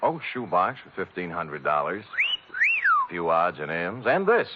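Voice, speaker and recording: Wisecracking American detective, brisk, sardonic, swinging intonation, vintage radio crime drama, muffled recording. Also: male voice, low voice, big pitch swings, heavy background noise. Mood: wry. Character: wisecracking, hard-boiled American male private detective. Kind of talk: 1940s radio acting. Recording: dull old radio recording.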